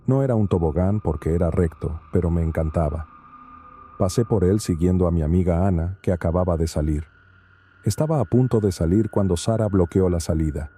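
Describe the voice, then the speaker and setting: Deep, dark-toned male narrator. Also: a little fast, breathy edge. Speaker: horror story narrator. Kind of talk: scripted first-person narration.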